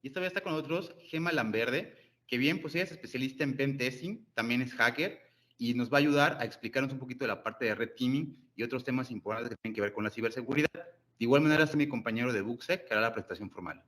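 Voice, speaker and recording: Presentador rápido y de voz brillante. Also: male voice, mid-range voice, fast talker, a bit bright. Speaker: host. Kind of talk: show opening and interview questions.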